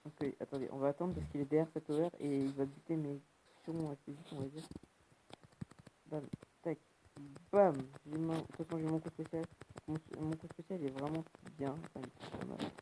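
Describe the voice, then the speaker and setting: A man's voice: fairly high pitch, little pitch movement, normal speed, mellow, a little hiss or hum, rough recording. A young man who has a cold, giving rambling casual commentary over a video game. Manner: rambling, full of "hop", "bam", "tac" sound words. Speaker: gaming YouTuber.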